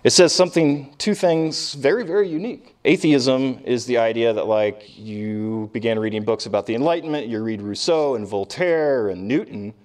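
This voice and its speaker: A man giving an informal classroom lecture, conversational and emphatic, asking rhetorical questions and pausing for weight. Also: medium pitch, darkish tone. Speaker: history professor lecturing. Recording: distant microphone in live lecture.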